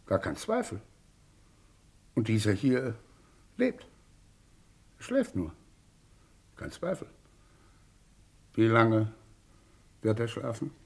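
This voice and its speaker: A man playing a wary, shrewd, wealthy English gentleman in an old German radio crime drama, with deliberate, dramatic delivery. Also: mid-range voice, slightly rough, heavily acted. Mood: stunned. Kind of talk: German radio crime drama acting, mid-20th century.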